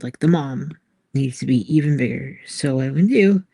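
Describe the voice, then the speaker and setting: A woman's voice: extremely low pitch, moderate pace, creaky, dark tone, breathy edge. A woman casually thinking aloud as she works on artwork, musing and hesitant, often trailing off between phrases. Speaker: artist and author, host.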